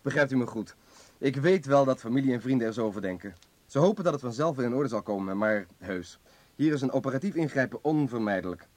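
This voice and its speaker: Guy acting brisk confident surgeon in a radio play, mid pitch, polite but decisive. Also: darkish tone, no room sound, lightly acted. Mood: reassuring, insistent. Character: brisk, self-assured male hospital surgeon.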